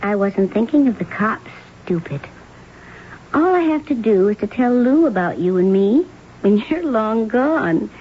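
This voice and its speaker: A woman's voice: on the high side, lively intonation, measured pace. A woman playing a scornful femme fatale blackmailer in a radio drama, with an American accent and a pointed, taunting manner. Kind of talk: 1970s radio drama acting. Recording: muffled old radio recording, faint noise.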